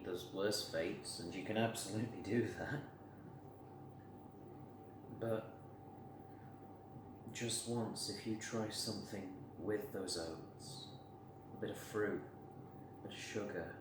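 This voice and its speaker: An actor playing a melancholy colourblind man, delivering an intimate, halting and understated dramatic monologue. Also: lowish voice, background noise, lightly acted. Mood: wry, reflective. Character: melancholy colourblind man losing his regained colour vision.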